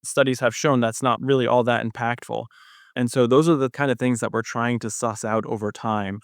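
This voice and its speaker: A man's voice: medium pitch, steady tone, normal speed, no room sound, studio quality. A man speaking as an expert guest in an interview, explaining things conversationally with technical jargon. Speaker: guest physician researcher.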